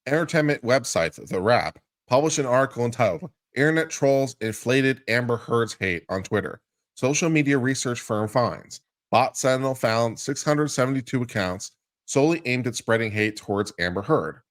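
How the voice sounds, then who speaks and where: A man appearing as a guest commentator, giving a deliberate, theatrical reading of a lawsuit. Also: mid-range voice, lively intonation, no echo. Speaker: guest commentator on a legal show.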